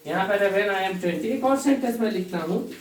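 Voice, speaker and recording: A male English grammar teacher talking slowly and deliberately, dictating example sentences as he writes, repeating key phrases, and addressing the class as dear students. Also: high voice, lively intonation. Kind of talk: bilingual grammar lesson. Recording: echoey room, distant mic, faint hiss.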